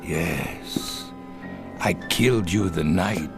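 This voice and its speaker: An actor in a radio drama playing an arrogant, cruel, unraveling former Nazi camp captain, theatrical and contemptuous. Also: male voice, low voice, gravelly, over music, full character voice. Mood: bitter, accusing. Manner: theatrical, sneering, contemptuous, halting when rattled.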